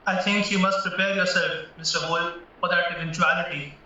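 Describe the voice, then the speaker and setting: A man's voice: sits high, fairly even intonation, a little fast, sharp tone, slight background noise. A man playing a reassuring, fatherly defence lawyer in an audio play. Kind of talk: stage-style radio play acting. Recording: muffled, distant, echoey room recording.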